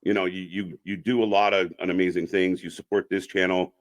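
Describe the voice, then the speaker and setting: A man's voice: low voice, flat delivery, brisk. A man hosting an informal interview in casual chat style, often repeating short affirmations. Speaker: host of a wrestling fan channel.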